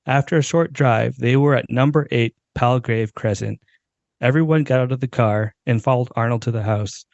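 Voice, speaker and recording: A man's voice: mid-range voice, normal speed, creaky, darkish tone, no echo, dull audio. A man, a podcast co-host, giving a dramatic reading of a short story and now and then tripping over words.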